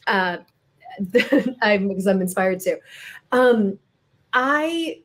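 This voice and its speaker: A woman's voice: medium pitch, lively intonation, very slow. A woman moderating a literary interview, conversational and a little wry, building long questions piece by piece.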